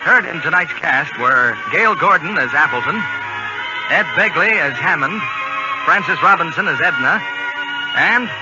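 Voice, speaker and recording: A man's voice: on the high side, expressive, a little slow. A man announcing on 1940s radio with a polished, emphatic, scripted delivery. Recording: dull old radio broadcast recording. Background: loud music.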